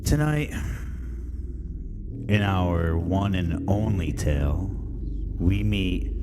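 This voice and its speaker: Man, deep voice, unhurried theatrical horror host, wry, introducing a story, music behind.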